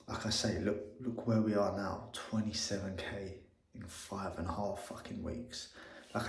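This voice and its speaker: A man, talking in a casual, motivational vlog, excitable and swearing often, repeating himself. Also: fairly low pitch, roomy sound, rough recording. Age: 20s. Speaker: agency owner vlogging. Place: same room as his earlier videos.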